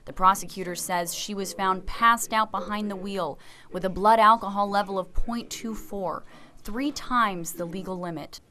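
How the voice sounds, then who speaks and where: An American woman reads a scripted TV news report. Her voice sits at an average female pitch, and she delivers the narration as a field reporter's voice-over. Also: steady tone, normal speed, pushed and bright.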